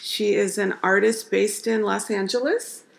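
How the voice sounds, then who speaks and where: A woman's voice: mid-range voice, fairly even intonation, measured pace, vocal fry, reverberant. A woman hosting a radio interview, asking loose, casual questions with fillers.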